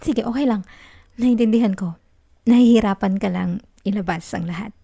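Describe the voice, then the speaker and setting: A woman plays a possessive young Filipino girlfriend who refuses to let go. Her voice is soft and mellow, with a creaky fry in it, recorded very dry and close. She pleads tenderly in an intimate ASMR roleplay. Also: medium pitch, moderate pace, no echo. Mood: controlling, tender. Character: clingy, possessive young student girlfriend in denial.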